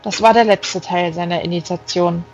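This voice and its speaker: Audiobook narrator, mid-pitched voice, reading literary prose with music underneath. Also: steady tone, moderate pace, muffled recording. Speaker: audiobook reader.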